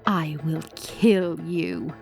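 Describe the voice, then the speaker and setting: A woman's voice: deep voice, sing-song, gravelly, darkish tone, voice pushed down, exaggerated voice. Woman acting a menacing, vengeful goddess over music. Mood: cold threat. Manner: dramatic, threatening, deliberate. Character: cold, vengeful, menacing goddess, bitterly accusing.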